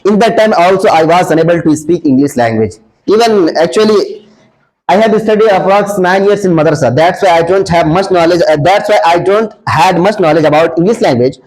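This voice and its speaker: A man's voice: high voice, brisk, darkish tone, rough recording. A young man from India with an Indian accent, a senior student earnestly answering questions about learning English, full of fillers and restarts. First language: Hindi. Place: classroom.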